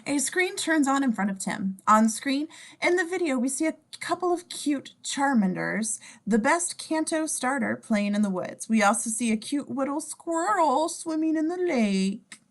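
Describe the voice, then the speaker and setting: A woman with a fairly high, very lively voice narrates a script. She is playful and slangy, slipping from stage directions into casual joking asides. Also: moderate pace, few pauses. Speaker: scene description reader.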